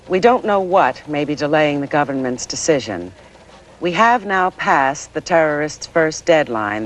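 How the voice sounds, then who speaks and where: An actress playing a grave, careful female news co-anchor on live crisis coverage in a 1980s TV drama. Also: low voice. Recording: faintly noisy 1980s TV broadcast.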